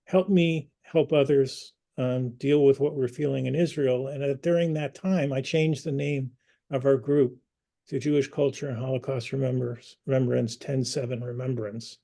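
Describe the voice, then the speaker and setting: A man hosting an online community meeting, speaking earnestly and personally, pausing with fillers and restarts. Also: mid-range voice, steady tone, creaky, dark tone. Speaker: community group host.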